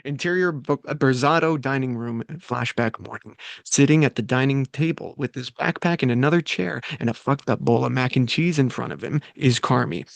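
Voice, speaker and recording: A man reads the stage directions at a table read. His voice is mid-pitched and gravelly. The sound is dry and close to the mic. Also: no echo. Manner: plain, quick read with slight stumbles. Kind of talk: reading screenplay directions.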